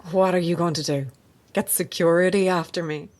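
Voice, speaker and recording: An actress playing a blunt, sceptical reporter in an audio drama, sarcastic and confrontational. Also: female voice, lowish voice, fairly even intonation, moderate pace, close to an everyday voice. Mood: defiant. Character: defiant, sardonic female reporter, sceptical and blunt.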